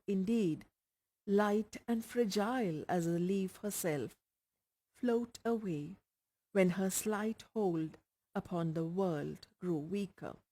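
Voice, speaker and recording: A woman's voice: medium pitch, a little mellow. A woman with an Indian accent reading a short story aloud as scripted narration. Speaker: audiobook reader.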